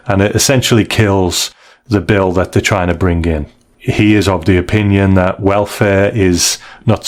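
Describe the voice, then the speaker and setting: A man co-hosting a podcast, talking through a review in a casual, measured and explanatory way. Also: sits low. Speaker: podcast co-host.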